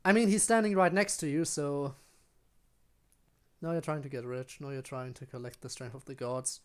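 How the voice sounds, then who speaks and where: Higher-pitched man streaming a video game. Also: fairly even intonation, moderate pace, clear, resonant tone. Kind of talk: live game stream commentary, casual chat.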